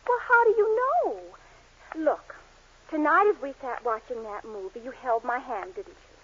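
An actress playing an earnest, gently persistent young American sweetheart in a 1940s radio sitcom. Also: female voice, a little high, sing-song, normal speed, breathy edge. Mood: probing, pleading. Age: young adult. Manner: earnest, emphatic, pleading. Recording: muffled 1940s radio recording.

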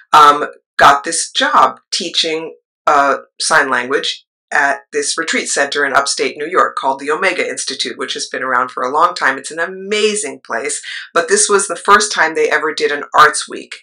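A middle-aged woman with a low voice, a choir leader and actress, telling her story in a rambling interview style with asides. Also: pushed and bright, poor audio. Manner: rambling, with asides and trailing thoughts.

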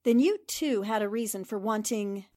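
A woman's voice: mid-range voice, normal speed, mellow, lightly acted. A woman playing a self-assured, inquisitive newspaper reporter turned detective, articulate and probing, in 1940s radio drama style. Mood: musing.

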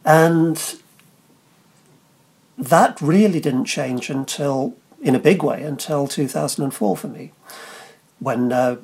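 Gravelly man in his sixties. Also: fairly high pitch, lively intonation, darkish tone. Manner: halting, repeats words, many fillers. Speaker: guest, science writer. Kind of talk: interview answers.

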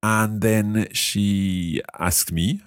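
Slow, deep Scottish man teaching. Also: fairly even intonation, smooth, no room sound, studio quality. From Scotland. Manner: slow, careful, repeats phrases aloud. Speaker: language learner and podcast host. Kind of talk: podcast language lesson.

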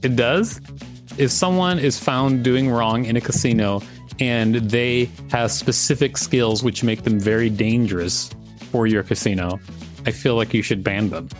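A man's voice: mid-range voice, a little slow. A man with a mid-range voice co-hosts a podcast. He moves between plainly read article text and casual banter, with faint music behind him. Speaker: podcast co-host. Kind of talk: reading an encyclopedia article aloud with casual banter.

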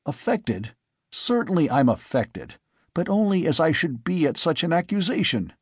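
A man's voice: on the high side, sing-song, moderate pace, husky, no echo, studio quality, pitched above the performer's own voice. A man voicing an earnest, agitated young man who pleads insistently with his father. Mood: distressed.

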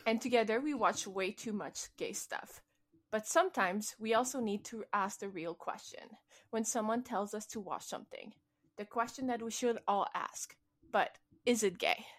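A woman's voice: medium pitch, normal speed. A woman co-hosting a podcast, reading the prepared welcome. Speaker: podcast co-host. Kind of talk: scripted podcast intro.

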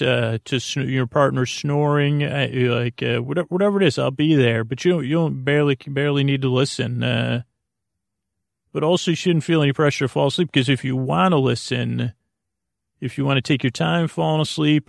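A man with a creaky voice hosting a bedtime podcast, meandering haltingly through tangents with lots of drawn-out uhs. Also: medium pitch, no room sound, studio quality. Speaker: podcast host. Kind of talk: rambling bedtime sleep podcast monologue.

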